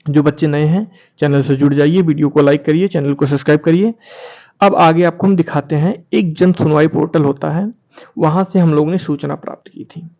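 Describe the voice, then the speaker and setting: A man with a fairly high-pitched voice presents an informal explainer for his online viewers. He reads formal text aloud, then comments on it directly, repeating key phrases to drive them home. The sound is somewhat dull and lo-fi. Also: fairly even intonation, fairly quick, darkish tone. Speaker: YouTube channel host presenting documents.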